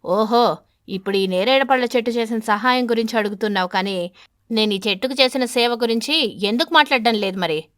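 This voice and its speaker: A woman's voice: a little high, a little fast, dry recording, clean studio sound, lightly acted. Woman as an exasperated, grumbling husband pigeon. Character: irritable argumentative husband pigeon.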